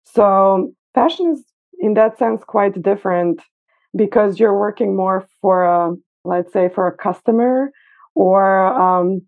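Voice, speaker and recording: A woman's voice: medium pitch, takes it slowly. Woman over a tinny phone-like line. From Serbia. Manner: thoughtful, hesitant with fillers. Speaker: guest costume designer. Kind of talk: casual interview. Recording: phone-like remote call.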